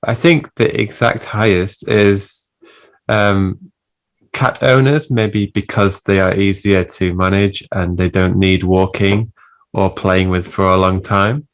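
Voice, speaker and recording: A woman from England, a teacher explaining things in a relaxed, casual chat and laughing easily. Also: very deep voice, slow pace. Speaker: English teacher, co-host. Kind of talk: casual conversational Q&A.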